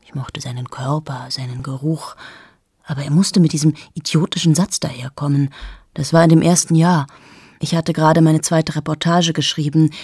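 Woman doing a cold cynical journalist in a radio play, low gravelly voice, dry close sound. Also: a little mellow, dry recording, full character voice. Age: 30s. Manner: confiding, often sinks to a bitter whisper. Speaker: monologue character, a journalist.

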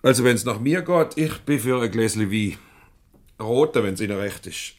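Actor as urbane low-voiced Swiss German director. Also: male voice, close to an everyday voice. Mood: hospitable. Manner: measured, jovially formal. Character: urbane, probing company director, hospitable and self-assured. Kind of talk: 1970s comedy radio play acting.

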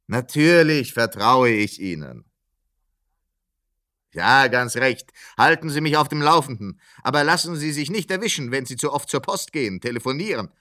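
Shouting police commissioner. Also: male voice, medium pitch. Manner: shouting into a bad phone line. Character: excitable male police commissioner on phone. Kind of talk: film dub.

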